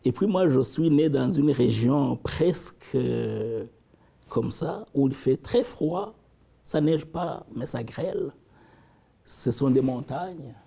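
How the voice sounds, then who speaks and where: A man from the region, answering interview questions thoughtfully, with halting restarts. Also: mid-range voice, lively intonation, creaky, slightly rough. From African Great Lakes region. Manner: thoughtful, halting, with frequent restarts and warm asides.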